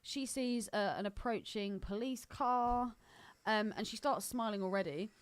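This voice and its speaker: A woman's voice: a little high, a little slow, clear, resonant tone. A woman co-hosting a podcast, casually recapping a film and critiquing it with blunt opinions. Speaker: podcast co-host.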